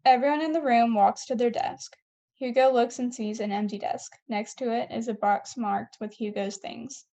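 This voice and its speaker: Woman narrating a script read, soft-edged mellow tone, bit high. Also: steady tone, moderate pace. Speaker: narrator reading stage directions.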